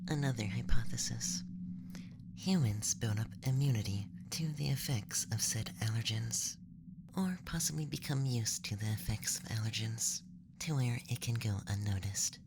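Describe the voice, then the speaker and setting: A man voicing a cool, analytical alien scientist in a whispered ASMR roleplay, measured and detached with a sly teasing streak. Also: mid-range voice, gravelly, natural voice. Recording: loud background noise and ambience.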